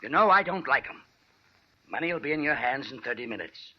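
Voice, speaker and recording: A man's voice: mid-range voice, expressive, a little fast, natural voice. An actor playing a stern, curt, domineering rich old man in a 1940s radio drama. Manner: curt, imperious. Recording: muffled vintage radio broadcast.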